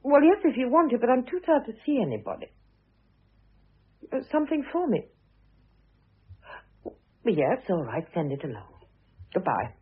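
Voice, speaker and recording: Over a thin, phone-like old radio recording comes a dignified middle-aged British mother, weary but sharp-tongued. Her voice swings expressively and has a slightly husky grain, in the manner of a vintage radio play. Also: on the high side, wildly swooping pitch, normal speed, pauses often, full character voice.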